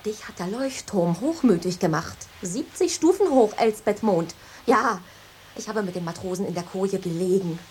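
An actress in a German radio drama playing a proud, defiant former ship stewardess who speaks plainly. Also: female voice, medium pitch, lively intonation, normal speed, darkish tone, a little hiss or hum, natural voice.

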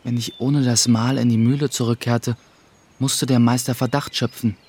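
An actor playing a young, guarded mill apprentice who narrates a radio play in a reflective, restrained way. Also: male voice, medium pitch, level tone, moderate pace, darkish tone, slightly rough, natural voice. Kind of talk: scripted first-person narration in a German radio play. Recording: music behind the voice.

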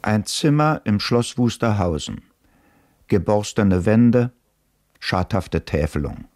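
A man's voice: lowish voice, sing-song, takes it slowly, darkish tone, dry recording, clean studio sound. A man reading scripted scene-setting narration for a radio play in a sober, descriptive way.

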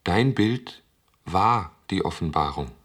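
Solemn reverent man in a radio drama, super slow and husky. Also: deep voice, expressive, a bit bright, full character voice. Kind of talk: German radio play acting.

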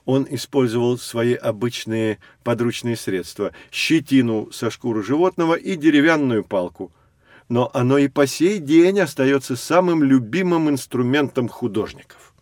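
Man as a patient know-it-all guide explaining stuff to kids, storyteller style, mid pitch, very dry recording. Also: normal speed, darkish tone, no room sound, natural voice. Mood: patient, informative. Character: patient, knowledgeable male guide teaching two children. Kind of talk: scripted educational narration for children.

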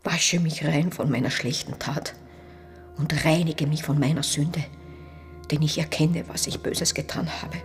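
An actress playing a devout, guilt-ridden woman reciting a breathy, pleading prayer in a radio drama. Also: deep voice, fairly even intonation, a little slow. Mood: penitent. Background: music.

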